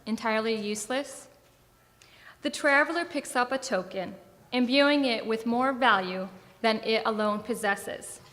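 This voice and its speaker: A young American woman, a veteran, reading her personal essay on stage in a measured, solemn way. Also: a little high, a bit bright. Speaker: military veteran performer. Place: theatre stage in an arts center. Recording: reverberant hall.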